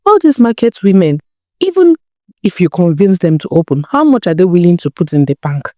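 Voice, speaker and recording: A woman narrator plays a hard-nosed young Nigerian woman in a folktale. She lectures bluntly with scornful questions, in a low voice with a dry sound. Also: sing-song, fairly quick, dark tone, no echo. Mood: coaxing, blunt. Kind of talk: storybook character dialogue.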